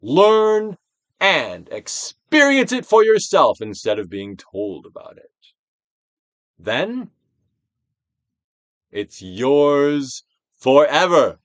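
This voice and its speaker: Theatrical man reading with sweeping, animated pitch. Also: no room sound. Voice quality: trained stage-actor delivery. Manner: emphatic, drawn-out phrases with big dynamic swells and hushed drops. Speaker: narrator reading a self-help book. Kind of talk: theatrical dramatic audiobook reading.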